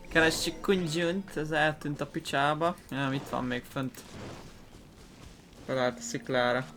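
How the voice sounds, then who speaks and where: A man's voice: a little high, fairly even intonation, a little slow. A man who is a native Hungarian speaker, giving casual let's play commentary. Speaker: gaming video player. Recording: game music behind the voice.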